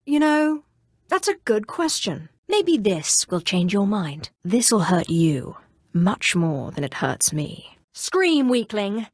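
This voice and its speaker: A haughty young Sith sorceress, voiced by an actress for a video game, speaks with imperious control and dry sarcasm. Her voice sits at a middling female pitch, cold and menacing, recorded close with no room sound. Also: dry recording, natural voice. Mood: cruel, taunting. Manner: imperious, dryly sarcastic, crisply controlled delivery. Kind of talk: scripted video game character dialogue.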